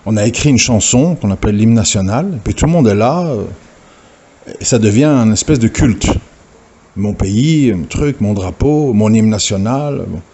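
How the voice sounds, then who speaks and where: A man's voice: mid-range voice, warm tone, slightly rough. A man giving an informal religious talk, hesitant and full of fillers and restarts as he lectures. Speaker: religious preacher. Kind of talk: informal recorded audio talk.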